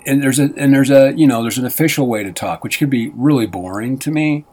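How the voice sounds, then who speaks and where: A man's voice: mid-range voice, fairly quick, darkish tone. A man co-hosting a radio show, chatting in a loose, rambling way with lots of fillers and restarts. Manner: meandering, many fillers and restarts. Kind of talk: rambling casual radio chat.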